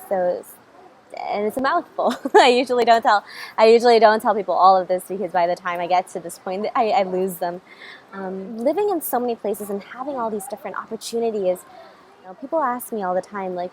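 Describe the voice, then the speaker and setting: A woman's voice: medium pitch, fairly quick, darkish tone, slight background noise, poor audio. Chatty young woman coach with ums. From Taiwanese-Singaporean family, raised across Germany, Asia and California. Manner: chatty, many ums and restarts, laughs often. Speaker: coach and summit speaker. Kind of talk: casual talk to camera.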